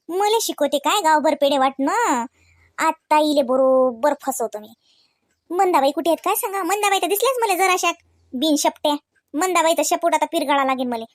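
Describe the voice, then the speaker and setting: A woman voicing a scheming, vengeful village aunt in a comedy cartoon, with a rural Maharashtra accent and a slow, drawn-out, gloating delivery. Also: high voice, dry recording, clean studio sound. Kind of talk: Marathi comedy cartoon acting.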